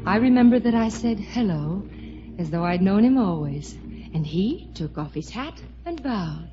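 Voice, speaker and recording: A romantic young showboat girl speaks with wistful, coy theatricality, as in a 1950s radio musical. Her voice sits at a middle pitch, over orchestral music in a dull vintage broadcast recording. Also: very animated, a little slow. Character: romantic young Southern showboat captain's daughter, dreamy, playful.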